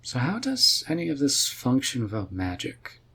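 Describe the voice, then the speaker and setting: A man's voice: medium pitch, huge pitch swings, normal speed, pushed and bright, husky, full character voice. A man voicing a laid-back, friendly and curious male mage in a fantasy roleplay audio, with a casual, questioning manner. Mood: puzzled. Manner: casual, easygoing, puzzled questioning. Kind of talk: roleplay audio drama for the listener.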